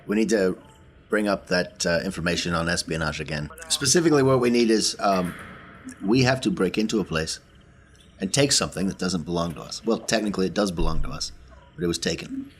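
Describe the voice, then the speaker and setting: A man playing a tabletop roleplaying game, talking through plans casually in a halting, deliberate way. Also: deep voice, lively intonation, slight background noise.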